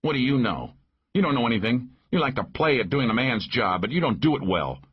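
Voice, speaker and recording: A man's voice: medium pitch, big pitch swings, fairly quick, husky, no echo, highs cut off, pitched above the performer's own voice. A man voicing a sneering, contemptuous, hostile male character in an audiobook. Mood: taunting, contemptuous. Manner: taunting, contemptuous.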